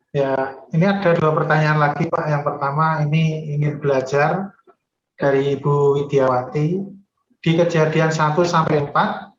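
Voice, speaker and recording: A man's voice: on the high side, roomy sound, highs cut off. A man moderating a seminar, politely reading out audience questions.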